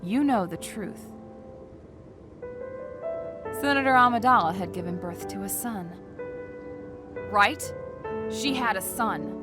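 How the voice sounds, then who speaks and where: A woman playing a brisk, sardonic rogue agent in a comic dub, casual and businesslike. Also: mid-range voice, music in the background, natural voice. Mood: pressing, accusing. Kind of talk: dramatic comic dub acting.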